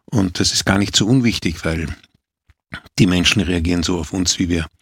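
Austrian actor in his sixties, deep husky voice, unhurried reminiscing, dry close sound. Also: no echo. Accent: Austrian German. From Austria, Vienna. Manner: measured, thoughtful, repeats words while searching. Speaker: actor recounting his life. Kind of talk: reflective autobiographical talk.

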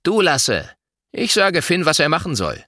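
Man voicing a bossy, sulky boy, pitched high. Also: huge pitch swings, unhurried, pushed and bright, dry recording, studio quality, heavily acted. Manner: barking, scornful. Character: bossy, sulky young boy shouting orders.

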